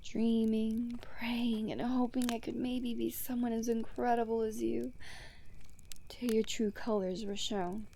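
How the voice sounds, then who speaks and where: Woman acting a slow, smug female supervillain. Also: on the high side, creaky, dark tone, a little hiss or hum. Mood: bitter. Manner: mocking, teasing, theatrical, unhurried, breaking into whispers. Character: taunting female supervillain, smug, seductive, bitter toward heroes. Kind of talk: ASMR roleplay villain monologue.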